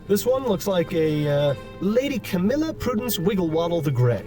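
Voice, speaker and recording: A man's voice: sits high, big pitch swings, normal speed. A man voicing a sardonic character who dryly mocks paintings and trinkets in a video game. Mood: mocking. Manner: dry, mocking, playfully snide. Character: sardonic male inner voice mocking portraits. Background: game music.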